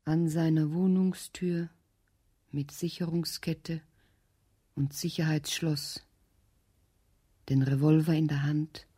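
A woman playing the grave narrator of a radio drama, measured and detached. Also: low-pitched, little pitch movement, dark tone, heavily acted. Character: grave female narrator of a violent urban radio play. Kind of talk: scripted present-tense narration. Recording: clear background noise.

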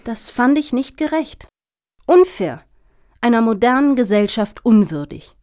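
A woman's voice: on the high side, sing-song, measured pace, dark tone. A woman playing a self-righteous middle-aged female activist in a satirical radio play, speaking emphatically and preachily. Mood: indignant, earnest.

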